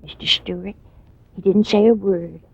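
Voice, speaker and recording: A woman playing a respectful, wistful housekeeper in 1940s radio drama style, halting and confiding. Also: mid-range voice, fairly even intonation, creaky, husky. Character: deferential, long-serving female housekeeper, wistful. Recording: dull, dry vintage radio recording.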